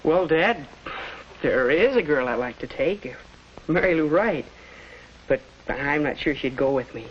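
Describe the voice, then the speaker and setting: A young male actor playing a shy, earnest high school boy with an American accent, halting in a 1940s educational film. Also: animated, husky. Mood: unsure, bashful. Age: teenager. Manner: hesitant, halting. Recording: dull lo-fi 1940s film soundtrack.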